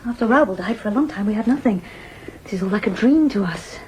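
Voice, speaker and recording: A mid-pitched female voice from an actress plays a middle-aged, homely mother keeping house. She is earnest and chatty in radio drama style, with clear noise and clatter behind her. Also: expressive, fast talker, a little mellow, natural voice. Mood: earnest, sorrowful. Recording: noisy, with clicks and clattering dishes.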